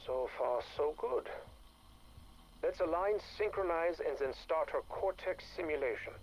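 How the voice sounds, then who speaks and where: Calm scientist, clinical, measured, gentle. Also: male voice, a little high, dull audio, slight background noise. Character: calm male scientist booting an AI.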